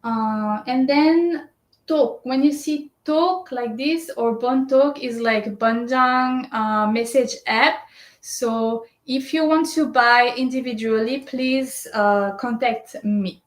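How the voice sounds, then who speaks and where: A woman's voice: on the high side, takes it slowly. A woman presenting a step-by-step screen tutorial, explaining as she goes and often checking in with "right?" and "uh". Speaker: shopping service presenter. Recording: roomy echo.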